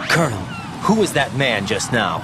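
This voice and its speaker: A man's voice: medium pitch, sing-song, moderate pace, background noise, natural voice. An actor playing an earnest, uneasy young soldier in a video game, questioning what he is told. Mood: suspicious. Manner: questioning, incredulous. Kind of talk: video game cutscene voice acting.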